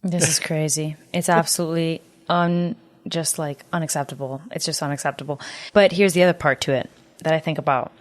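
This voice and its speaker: A woman's voice: low voice, steady tone, a little fast, no echo. A woman co-hosting a casual, profane chat, ranting emphatically in exasperated slang. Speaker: podcast co-host reviewing a horror film. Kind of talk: casual, profane film discussion.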